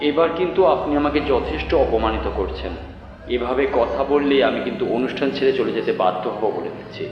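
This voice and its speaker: A man's voice: medium pitch, moderate pace, natural voice. A man playing a hesitant, insulted writer in a horror audio drama, protesting defensively. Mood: offended. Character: insulted, hesitant male writer growing indignant. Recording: heavily reverberant dull hall sound, music behind.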